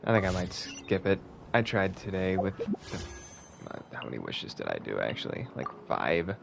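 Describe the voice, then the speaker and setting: A man with a low voice and a gravelly edge, talking to himself while he plays a game. His pitch swings widely between remarks. Game music plays clearly behind him. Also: wildly swooping pitch, normal speed, background noise. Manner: mumbling, thinking aloud, swears. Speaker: streamer playing a game. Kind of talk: casual gameplay commentary.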